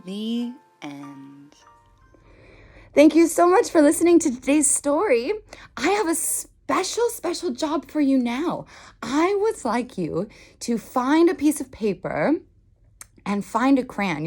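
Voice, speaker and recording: Animated woman reading a children's story. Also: high-pitched, unhurried, faint music behind. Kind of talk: expressive picture-book read-aloud for children.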